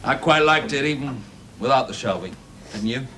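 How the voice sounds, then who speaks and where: A medium-pitched paternal male boss with very lively intonation. He coaxes with self-assurance, moving from soft to emphatic in seventies TV drama style. There is faint hiss on the old recording. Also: normal speed. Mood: light, coaxing. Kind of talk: 1970s television drama acting. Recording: faintly hissy old television soundtrack.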